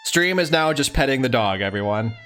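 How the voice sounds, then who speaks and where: A man's voice: mid-range voice, animated, brisk, a bit bright, faint music behind. A man hosting a livestream, chatting casually and affectionately with his viewers. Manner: affectionate, cooing to a pet. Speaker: livestream host.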